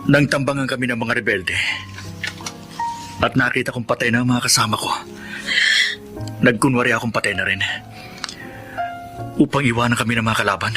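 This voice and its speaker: Man acting earnest soldier, sharp bright tone, radio drama delivery, faint music behind. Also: medium pitch, close to an everyday voice. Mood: earnest, relieved. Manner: earnest, halting, pleading. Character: wounded, earnest soldier longing for his family.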